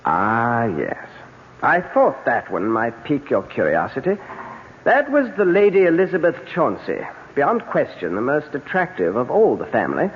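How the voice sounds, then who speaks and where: An actor playing a doting, teasing aristocrat husband in a 1970s radio drama, courtly and evasive. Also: male voice, mid-range voice, huge pitch swings, moderate pace. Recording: muffled, hissy old radio broadcast.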